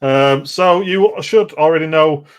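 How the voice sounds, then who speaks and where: A man's voice: a little high, a little slow, smooth. A man hosting a casual gaming podcast, chatty and digressive, often interrupting himself as he goes. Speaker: podcast host.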